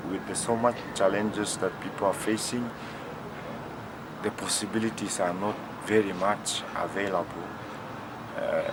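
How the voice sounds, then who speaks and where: A young man, a teacher answering interview questions in a measured, plain way. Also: low voice. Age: young adult. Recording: loud street and traffic noise.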